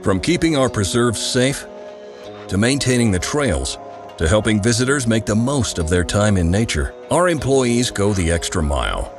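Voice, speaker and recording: Lively low-voiced man narrating over music. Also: normal speed. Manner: smooth promotional delivery. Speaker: video narrator. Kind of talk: scripted narration. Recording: voice-over over clear background music.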